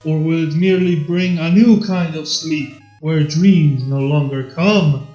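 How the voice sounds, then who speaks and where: A man's voice: a little high, fairly even intonation, measured pace, dark tone, reverberant, dull audio, soft background music, lightly acted. A man voicing a theatrical robot who declaims a grandiose, anguished Shakespearean soliloquy in a fan fiction reading. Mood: grandiose, questioning. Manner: grandiose, declamatory stage delivery.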